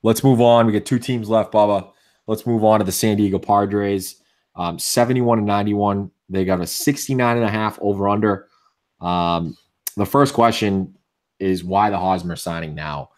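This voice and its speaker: A man with a Boston accent co-hosting a casual sports podcast, opinionated and full of restarts, with a voice going hoarse. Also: lowish voice, normal speed, a little mellow. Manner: opinionated, many restarts and fillers. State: losing his voice. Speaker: podcast co-host.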